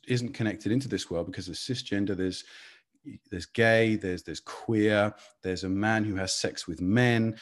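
Curious male podcast co-host, lowish voice. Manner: curious, thoughtful questioning. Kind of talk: casual interview talk.

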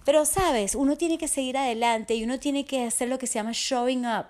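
A slightly hoarse woman speaking as a coach in an earnest, heartfelt motivational podcast monologue, often checking in with the listener. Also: sits high, brisk, a little mellow. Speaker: resilience and fitness coach.

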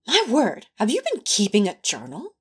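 A woman plays a tender, lovestruck male angel in a fan audio drama. The voice is slightly high and breathy, with a very lively melody. The phrasing is fussy, formal and earnest. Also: moderate pace, full character voice. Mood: baffled.

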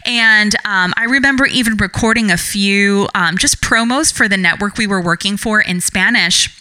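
A woman's voice: mid-range voice, moderate pace, sharp tone, clean tone, dry recording. A middle-aged woman co-hosting a podcast with her daughter, talking earnestly and reflectively with many restarts and fillers. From Puerto Rico heritage, raised in the US. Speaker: podcast co-host, mother. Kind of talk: casual conversational podcast.

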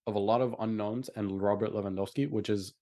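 A man's voice: lowish voice, steady tone, fairly quick, warm tone. A young man co-hosting a football podcast in casual conversation, agreeable and repeating phrases for emphasis. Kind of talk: casual sports chat.

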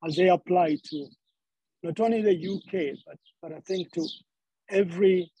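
A man's voice: high-pitched, moderate pace, mellow, breathy edge, no echo, dull audio. A man from Zambia preaching a sermon, often repeating words as he searches for the next phrase.

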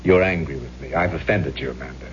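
An actor playing a courtly, mysterious gentleman in his forties in a 1970s radio drama, with old-fashioned, theatrical phrasing. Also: extremely low pitch, fast talker, slightly rough, full character voice. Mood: smooth, teasing. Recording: muffled, noisy 1970s radio recording with hum.

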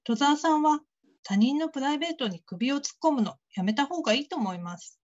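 A woman's voice: high-pitched, animated, fairly quick, darkish tone, lo-fi sound. A woman playing a critical call-centre worker in a radio drama, blunt and coolly polite. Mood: disapproving. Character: curt, critical female call-centre colleague. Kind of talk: Japanese radio drama acting.

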